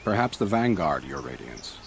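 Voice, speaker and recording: A man playing a dignified royal advisor in a video game, formal and measured with dry wit and a gravelly voice. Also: rumbling low, big pitch swings, background noise, exaggerated voice. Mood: solemn. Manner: formal, measured, dryly wry. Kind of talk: video game cutscene acting.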